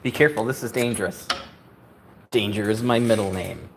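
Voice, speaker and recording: A man's voice: fairly low pitch, a little fast, noisy background. A man in his fifties hosting a woodworking livestream, chatting casually with a joking, self-deprecating, rambling manner. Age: 50s.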